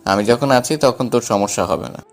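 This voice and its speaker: A man's voice: mid-range voice, moderate pace, faint music behind, natural voice. A man playing an assertive, caring younger brother in an audio story drama. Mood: insistent, reassuring.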